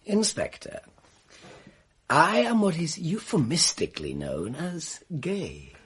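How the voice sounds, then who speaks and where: A theatrical, embittered man in a radio mystery. His voice is husky and swings widely in pitch, with florid, carefully turned phrasing full of spite. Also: huge pitch swings, slow, a bit bright, heavily acted. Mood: wounded, bitter. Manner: florid, precise, melodramatic delivery. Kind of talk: radio mystery drama acting.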